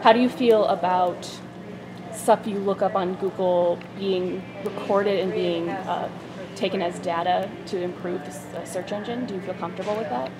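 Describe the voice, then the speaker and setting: A woman's voice: lowish voice, fairly even intonation, crowd noise, poor audio. Young woman asking street interview questions. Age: young adult. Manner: casual, halting questions with fillers. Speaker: student interviewer.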